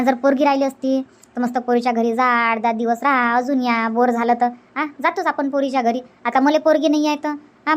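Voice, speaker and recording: A woman's voice: high-pitched, lightly acted. A woman voicing an opinionated, chatty village aunt in a cartoon comedy, with a rural Vidarbha Marathi accent.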